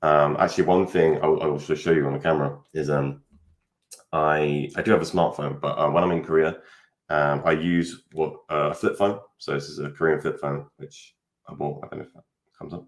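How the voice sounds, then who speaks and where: A man's voice: extremely low pitch, little pitch movement, brisk. A young man from the UK, a podcast guest, chatting casually and rambling with many fillers over a video call. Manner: rambling, hesitant, lots of fillers. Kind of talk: casual interview chat. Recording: echoey room.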